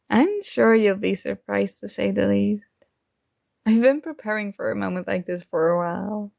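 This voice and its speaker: A woman's voice: mid-range voice, vocal fry, warm tone, dry recording, clean studio sound. A woman acting a young roommate who is shy, teasing and smitten with a friend, in a playful, conversational ASMR roleplay. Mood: teasing, confident.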